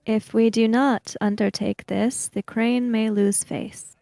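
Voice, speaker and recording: A woman playing a formal, guarded samurai emissary in a tabletop roleplay, with deliberate and precise wording. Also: a little high, little pitch movement, gravelly, dark tone, no echo. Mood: reserved, measured.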